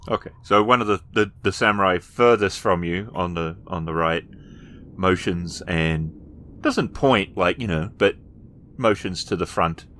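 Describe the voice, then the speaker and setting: A man with a low, deep voice runs a tabletop roleplaying game as storyteller. He describes each scene piece by piece, pausing mid-sentence as he improvises. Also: sharp tone.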